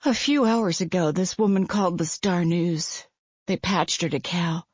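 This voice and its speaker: A woman's voice: on the low side, a little slow, darkish tone, slightly rough, no room sound, muffled recording. A woman reading a distraught young mother who blames herself, her voice pleading and near breaking. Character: distraught young mother, tearful and self-blaming.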